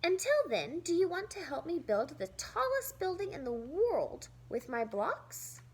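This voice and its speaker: A woman's voice: extremely high pitch, very animated, unhurried, pitched above the performer's own voice, heavily acted. A woman voicing an eager, friendly young schoolboy in a children's storybook read-aloud. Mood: kind, eager.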